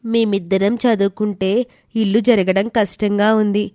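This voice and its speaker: A woman voicing a gentle, responsible schoolgirl, an elder sister in an animated moral story. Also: medium pitch, normal speed, no echo, studio quality, natural voice. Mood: earnest, resigned.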